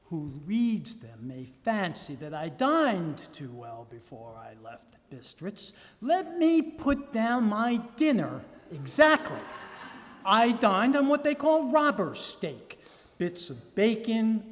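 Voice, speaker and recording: High-pitched theatrical man reading in a hall. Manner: theatrical, measured delivery with dramatic pauses. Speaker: reader. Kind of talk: dramatic live reading of a gothic novel journal. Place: live public reading in a reverberant room. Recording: echoey hall with long reverb.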